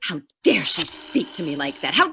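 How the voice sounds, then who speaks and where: A woman's voice: deep voice, sing-song, moderate pace, creaky, very noisy, full character voice. A woman acting a nervous, demanding bedridden woman in a suspense radio play, fretful and haughty, given to exclamations. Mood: haughty outrage.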